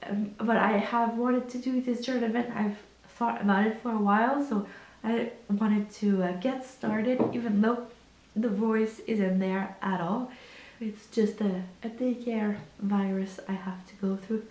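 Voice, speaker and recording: A woman in her thirties talking casually in a vlog, her voice hoarse and croaky from a cold, calm and unhurried with many fillers. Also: mid-range voice. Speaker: vlogger. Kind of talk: casual chat. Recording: echoey room.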